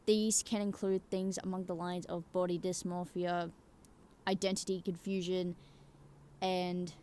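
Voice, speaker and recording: Woman, mid-pitched voice, casual rambling commentary, emphatic, loosely sweary, frequent checking tags. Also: darkish tone. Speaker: YouTuber giving game opinions.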